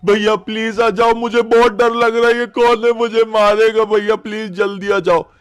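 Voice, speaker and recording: A man's voice: sits high, flat delivery, moderate pace, a bit bright, smooth, pitched above the performer's own voice. A man voicing a terrified young boy who pleads tearfully and stammers in panic in a horror story. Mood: terrified pleading.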